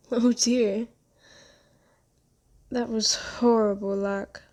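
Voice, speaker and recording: A woman playing a gentle, genteel vampire in an ASMR roleplay, soft-spoken, comforting and unhurried. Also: a little high. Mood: sympathetic. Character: gentle, soothing, genteel vampire who bought a captive. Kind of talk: ASMR roleplay, scripted character acting.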